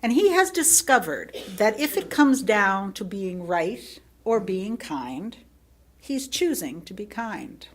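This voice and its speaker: A woman reading a prepared sermon as a lay speaker, in a reflective manner with rhetorical questions and deliberate pauses. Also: mid-range voice, big pitch swings, a little hiss or hum. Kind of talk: sermon read from a prepared text.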